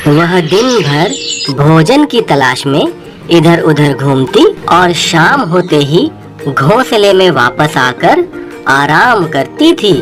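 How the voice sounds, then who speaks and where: A narrator reading a scripted children's moral story. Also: medium pitch. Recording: music and birdsong behind the voice.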